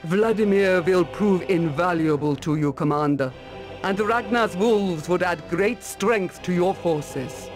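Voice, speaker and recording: An actor playing a grave, regal ruler of a northern empire in a fantasy game, telling things in a solemn, measured way. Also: male voice, sits high. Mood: grave, urgent. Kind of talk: fantasy video game cutscene acting. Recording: loud music under the voice.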